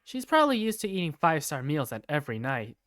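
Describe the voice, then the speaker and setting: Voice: mid-range voice, big pitch swings, quick pace, a bit bright. A performer voicing an awkward, easily flustered male student who narrates his school day in a hesitant, wry first-person style. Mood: wry, uneasy. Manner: hesitant, wry inner monologue. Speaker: narrator and main character of a visual novel. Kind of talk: first-person visual novel narration and dialogue.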